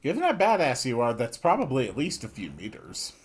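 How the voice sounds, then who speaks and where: A man's voice: medium pitch, huge pitch swings, brisk, a little hiss or hum. A man hosting a gaming video with casual commentary and a dry, sarcastic manner.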